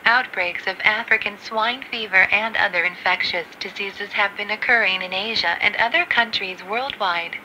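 A woman's voice: medium pitch, takes it slowly, hardly any pauses, bright, forceful tone, rough recording. A woman making a scripted, formal inflight announcement as a flight attendant over the cabin PA. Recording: cabin PA with faint noise. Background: cabin noise.

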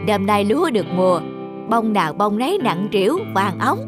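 A man's voice: sits high, big pitch swings, a little fast, music in the background. A man playing a hard-working, hopeful middle-aged rice farmer in an earnest, plain-spoken cartoon folk-tale style. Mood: pleased, determined.

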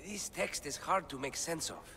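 A man's voice: fairly high pitch, normal speed, bright, forceful tone, long reverb tail, slight background noise. An actor voicing an earnest, bookish young man who explains ancient texts in a video game cutscene. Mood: thoughtful, explanatory.

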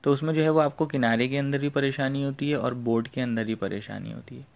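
A man's voice: mid-range voice, fairly even intonation, brisk, creaky, a little mellow. Man explaining. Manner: repetitive filler phrases, explanatory. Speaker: fishing tutorial host. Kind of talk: informal screen tutorial.

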